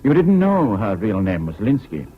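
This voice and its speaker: Muffled radio, formal commander. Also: male voice, lowish voice, sing-song, moderate pace, faint music behind. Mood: probing, cool. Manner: formal, questioning. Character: deliberate, probing naval intelligence commander. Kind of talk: 1970s British radio drama acting. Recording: dull, noisy old radio recording.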